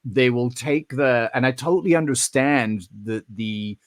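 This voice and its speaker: A man's voice: mid-range voice, measured pace, dry recording, studio quality. A man co-hosting a podcast in casual chat, telling stories animatedly, with frequent restarts. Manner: animated storyteller, acts out quoted voices. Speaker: podcast co-host.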